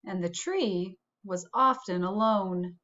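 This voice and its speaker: A woman's voice: mid-range voice, slow, a bit bright, muffled recording. A woman reading a storybook aloud to children, like a school principal addressing pupils.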